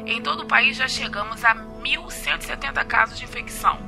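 A woman's voice: fairly high pitch, a little fast, creaky. A Brazilian woman playing a formal, serious live television reporter in an audio drama. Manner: formal, informative. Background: music.

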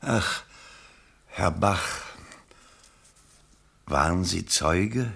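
An actor playing an excitable male political candidate with a theatrical, declamatory manner in a 1970s German radio play. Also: low voice, very slow, vocal fry, full character voice. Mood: subdued, marvelling.

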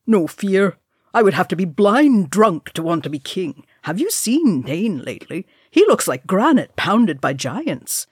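A woman's voice: mid-range voice, big pitch swings, unhurried, slightly rough, no echo, clean studio sound. A woman voicing a young male dwarf warrior in a storybook reading, hearty, bragging and teasing. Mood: boastful, joking.

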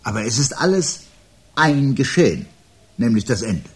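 An actor playing a brooding, articulate man who calmly awaits the world's end in a radio play, speaking in a measured, formal, sardonic way. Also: medium pitch, natural voice. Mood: grave, ominous. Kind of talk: 1970s German radio play acting. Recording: 1970s radio production with audible background noise.

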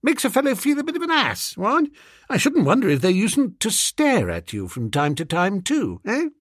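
A man voicing an amiable, dim young upper-class English gentleman in an audiobook, hesitant and full of old-fashioned exclamations. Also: sits high, huge pitch swings, moderate pace, dry recording, clean studio sound, voice pushed up, exaggerated voice. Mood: uneasy.

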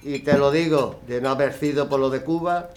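A man's voice: medium pitch, fairly even intonation, moderate pace, slight background noise, lightly acted. A man playing a scornful, preachy husband in an amateur radio drama. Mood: indignant. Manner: indignant, preachy. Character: reactionary middle-aged husband, scornful.

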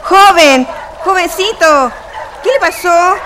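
A woman's voice: very high voice, slow, pushed and bright, heavily acted, poor audio. A woman with a Chilean accent playing a humble, kindly, motherly neighbourhood lady in a radio play, solicitous and colloquial. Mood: alarmed. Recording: clear background noise.

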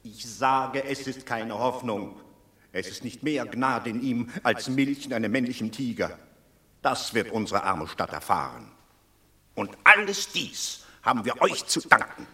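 A sardonic city elder in a classical radio play. He is dryly reproachful and pessimistic, in a mid-pitched voice with lively, swinging intonation. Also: unhurried. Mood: grim, reproachful. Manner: dry, reproachful. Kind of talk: classical radio drama acting.

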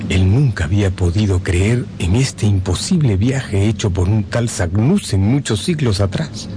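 A man narrating a scripted radio drama in a dramatic, suspenseful manner with measured phrasing. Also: on the low side, lively intonation, noisy background. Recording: loud music under the voice. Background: loud dramatic music.

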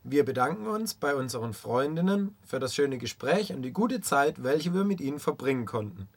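A man's voice: medium pitch, very animated, normal speed, breathy edge. A man presenting a radio feature in scripted narration, addressing listeners directly.

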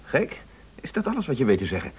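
An actor in a Dutch radio play playing a fussy, obstinate husband with an insistent, indignant, bossy way of talking. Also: male voice, medium pitch, huge pitch swings, fast, a little mellow, husky, full character voice. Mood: agitated, bossy.

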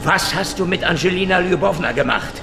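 A man's voice: high voice, fairly quick, creaky, music in the background. A man playing a hardboiled, cocky Soviet secret police investigator in a radio crime drama, with a sardonic, menacing, taunting manner. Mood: threatening. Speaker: lead actor and first-person narrator.

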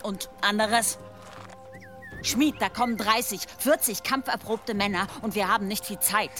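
A woman's voice: medium pitch, a little slow, sharp tone, faint music behind. An actress playing a resolute female village war leader in a German radio drama, firm and commanding as she reasons with people. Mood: determined.

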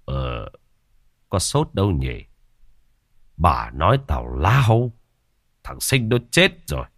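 A man voicing an older village husband who speaks in a gruff, dismissive, matter-of-fact way. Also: extremely low pitch, sing-song, slow pace, fairly bright tone, no room sound, studio quality, full character voice. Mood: gruff skeptical.

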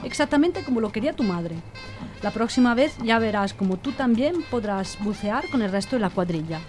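A woman playing a self-assured, proud wife in an audio drama, brisk and showing off. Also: on the high side, expressive, darkish tone, faint music behind, natural voice.

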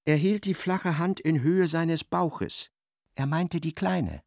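A man reading scripted literary narration in a radio play, with expressive, dramatic storytelling. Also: on the high side, slow pace, creaky, no echo, clean studio sound.

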